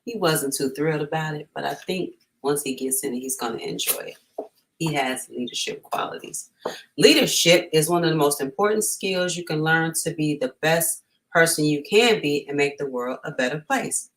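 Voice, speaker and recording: A woman's voice: low-pitched, animated, moderate pace, a bit bright, a little hiss or hum. A woman hosting a storytime, reading aloud and chatting informally and encouragingly to families. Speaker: storytime host.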